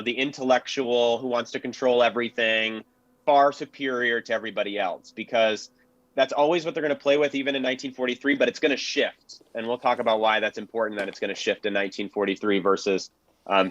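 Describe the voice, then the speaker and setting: A man's voice: medium pitch, fairly bright tone, no room sound. A man on a panel explaining his analysis conversationally, on a dull, lo-fi recording. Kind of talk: casual analytical panel discussion. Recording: dull lo-fi sound.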